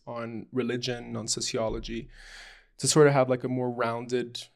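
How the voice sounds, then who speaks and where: A young man from Lebanon talks about himself off the cuff, stopping often for ums and restarts. His voice has a gravelly, creaky edge. He speaks in a flat, even tone that barely rises or falls. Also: medium pitch. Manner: hesitant, rambling, many ums and restarts. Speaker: podcast host. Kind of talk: unscripted personal introduction.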